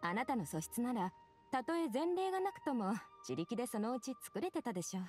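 A woman playing a grave, worried, perceptive physician in a video game, speaking in a measured, serious way. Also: a little high, big pitch swings, a little mellow, soft background music. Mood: serious, probing. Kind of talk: dramatic Japanese game voice acting.

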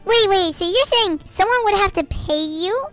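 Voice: very high voice, expressive, moderate pace. A child hosting story time in a game, bantering in a bossy, playful, chanting way. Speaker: host of a gaming story-time video. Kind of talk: playful banter while gaming. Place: online game voice chat. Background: music.

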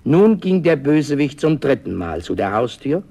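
A man narrating a fairy tale as a storyteller, expressive and theatrical, in a dramatic scripted style. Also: mid-range voice, moderate pace, slightly rough.

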